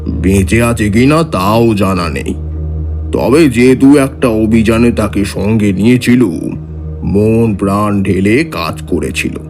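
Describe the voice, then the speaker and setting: A seasoned tantric mystic tells his tales in a horror audio drama. His voice is low and very slow, solemn and measured, with music underneath. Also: lively intonation, a little mellow. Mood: measured, reminiscing. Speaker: storyteller.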